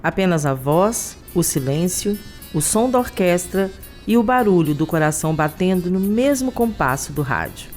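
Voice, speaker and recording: Brazilian woman narrator, lowish voice, reading a documentary script over some light music. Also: very animated, normal speed, a little mellow. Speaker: podcast narrator.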